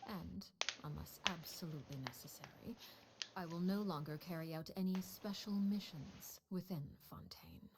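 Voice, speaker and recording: A composed, calculating matriarch, played by a woman in a game, with a slightly low voice. She speaks in smooth, measured phrases that hide quiet threats. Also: noisy background, close to an everyday voice. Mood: cool.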